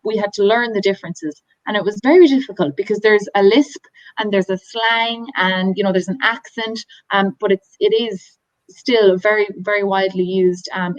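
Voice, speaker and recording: A woman's voice: mid-range voice, no room sound, highs cut off. An Irish woman from a tour company, chatting enthusiastically through a slide presentation with lots of ums and restarts. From Ireland. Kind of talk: presenting slides on a video call.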